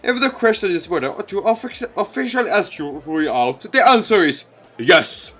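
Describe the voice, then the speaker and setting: A man voicing a teasing, jokey male teacher in a visual novel, with a smug, playful, loud manner. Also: high-pitched, animated, moderate pace, breathy edge, pitched above the performer's own voice, exaggerated voice.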